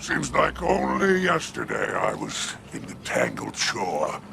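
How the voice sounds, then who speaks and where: A sly alien crime boss from a video game, gravelly and slow. He is pompous and sardonic, swinging wildly in pitch over loud music. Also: wildly swooping pitch, background noise, heavily acted. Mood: smug, scheming. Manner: florid, drawling, sardonic. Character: sly, pompous alien crime-lord spider, theatrical and scheming. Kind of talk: video game cutscene acting.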